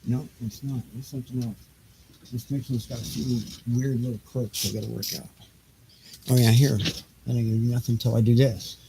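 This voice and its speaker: A man's voice: mid-range voice, flat delivery, moderate pace, creaky. A middle-aged man chatting off the cuff on a homemade livestream, rambling and half muttering to himself as he fiddles with his gear. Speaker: livestream host. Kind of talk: casual, unscripted livestream chatter. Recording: noisy homemade livestream setup.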